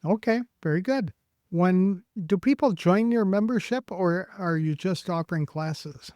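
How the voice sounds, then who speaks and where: A man's voice: sits high, a little slow, a little mellow, no room sound. A man hosting a podcast interview, asking questions and giving short affirming replies. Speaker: podcast host.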